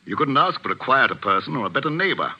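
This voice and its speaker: An actor playing a troubled, earnest English village vicar in a 1940s radio drama, anxious and proper. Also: male voice, mid-range voice, very animated, quick pace, slightly rough. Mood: troubled, hesitant. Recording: dull old radio recording.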